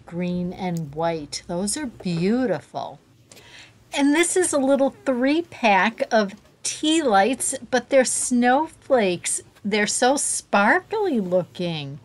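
Lady vlogger gushing over store finds, slow easy talk, phone in hand. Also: mid-range voice, slight background noise. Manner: enthusiastic, gushing over items. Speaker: shopping vlogger. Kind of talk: casual shop-with-me narration. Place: inside a store. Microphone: handheld phone camera.

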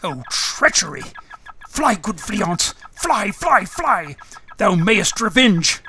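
A woman's voice: mid-range voice, big pitch swings, slow pace. A woman playing a betrayed, desperate noble soldier in a Shakespearean radio drama, against a very noisy backdrop. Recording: very noisy sound-effect backdrop.

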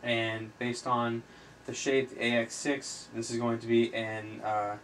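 A man tutors informally in a flat, medium-pitched voice. He moves haltingly from step to step, as if thinking aloud while he writes. The amateur recording has faint background noise. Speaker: chemistry tutor, video host. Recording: noisy amateur recording with room ambience.

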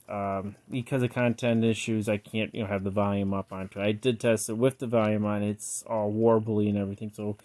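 A man giving a casual, unscripted product walkthrough in a halting, rambling way, often trailing off, recorded indoors on a handheld phone. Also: medium pitch, a little mellow, no echo. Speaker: collector showing a yard-sale cassette player. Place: indoors, close over a tabletop. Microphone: handheld phone he is filming with.

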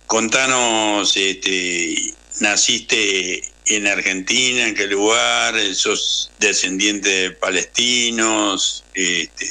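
A man's voice: on the low side, bright, forceful tone, rough recording. A male radio host with a Rioplatense accent, asking questions informally with hesitant fillers. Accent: Rioplatense Spanish. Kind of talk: radio interview.

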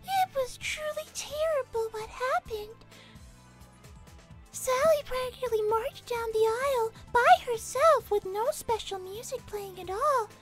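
A little cartoon bunny girl with an extremely high, childlike voice. She is earnest, formal and easily hurt, always minding her manners. Music plays clearly behind her. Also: unhurried. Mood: earnest, polite. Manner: earnest, very polite, formal forms of address. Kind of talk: improvised character roleplay on a livestream.

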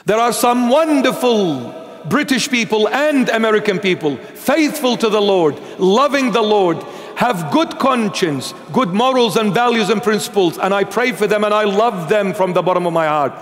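High-voiced bishop preaching in a church. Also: male voice, moderate pace. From Iraq. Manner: emphatic, gesturing, animated preaching. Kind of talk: sermon with Bible reading. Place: large church sanctuary, seated at altar. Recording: long church reverb.

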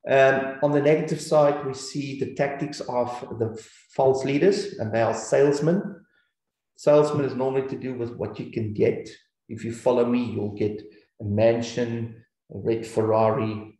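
A man with a New Zealand accent teaching scripture, his voice dark and mellow. He speaks in an earnest, repetitive teaching cadence. The recording sits in a very echoey, hollow-sounding room. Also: mid-range voice. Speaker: Bible teacher. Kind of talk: scripture teaching over slides.

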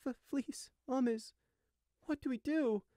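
Small whimpering young sheep, high-pitched. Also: male voice, unhurried, a little mellow, no echo, studio quality. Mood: anguished, fearful. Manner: whimpering, stammering, pleading. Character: small frightened young male sheep, grieving little brother. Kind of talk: storybook character acting.

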